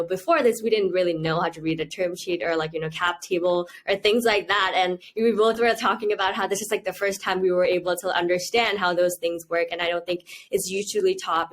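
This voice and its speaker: A woman in her twenties, originally from Thailand, answers interview questions in an earnest, easygoing way. Her voice sits a bit low for a woman, and her speech is full of "you know" and "like". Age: 20s. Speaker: interviewee, university student. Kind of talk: casual video-call interview.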